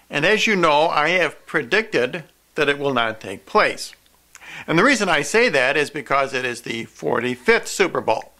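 An older man delivering an earnest religious monologue to camera in a deliberate, emphatic, didactic way. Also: on the high side, sharp tone. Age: older, 60s-70s. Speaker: video preacher, host of a prophecy program.

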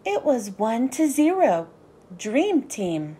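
A woman reading a children's storybook aloud in an animated, sing-song way with deliberate phrasing. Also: on the high side, husky. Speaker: children's book read-aloud host. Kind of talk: expressive storybook narration for young children.

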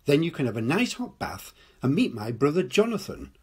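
An older man voicing a kind young schoolgirl in a storybook reading, with a husky voice. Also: a little high, huge pitch swings, fairly quick, darkish tone, full character voice.

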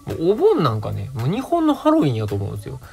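A man's voice: medium pitch, wildly swooping pitch, racing, vocal fry, darkish tone, soft background music. A man around thirty with a Kansai accent, hosting a casual radio show as a solo monologue.

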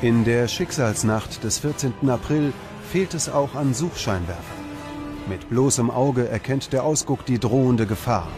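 A man reading scripted documentary narration in a measured, dramatic manner. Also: mid-range voice. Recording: music under the voice. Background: background music.

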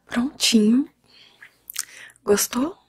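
A young woman with a Brazilian Portuguese accent speaks in a breathy whisper, soft and reassuring, in an unhurried ASMR hairdresser roleplay. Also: on the high side. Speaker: ASMR creator playing a hairdresser. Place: small room at home, indoors.

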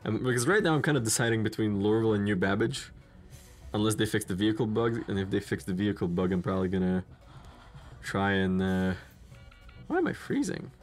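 A man's voice: fairly low pitch, animated, brisk. A young man with a Slavic accent, chatting casually while streaming a game, rambling with lots of fillers and restarts. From Czech Republic or Slovakia. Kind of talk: casual gameplay commentary. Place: home room in front of a gaming chair. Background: faint game music.

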